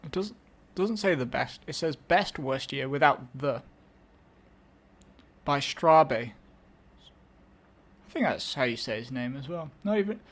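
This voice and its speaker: A man's voice: medium pitch, animated, measured pace, long pauses, fairly bright tone. A young English man hosting a casual solo music show, rambling and correcting himself with wry asides. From England. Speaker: podcast host. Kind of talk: casual solo chat introducing songs.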